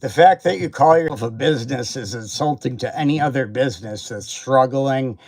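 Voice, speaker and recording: A man's voice: mid-range voice, normal speed, a little mellow. A man hosting a livestream who rants at a seller with profane, confrontational, repetitive jabs. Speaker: toy reviewer and livestream host. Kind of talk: angry livestream rant. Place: home livestream setup.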